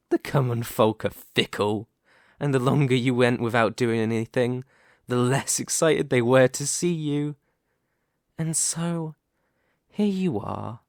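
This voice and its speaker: A man's voice: medium pitch, no room sound. Man acting a proud, vengeful demon king, close and dry. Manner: theatrical, gloating, deliberate with drawn-out emphasis. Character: vengeful, proud demon king back from death, mildly yandere. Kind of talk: ASMR roleplay monologue addressed to the listener.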